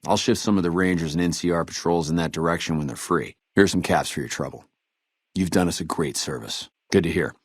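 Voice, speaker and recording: An actor playing a weary, dutiful army trooper in a video game, speaking in a measured, earnest soldierly way. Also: male voice, low voice, breathy edge, dry recording, clean studio sound. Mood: grave, businesslike. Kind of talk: video game dialogue acting.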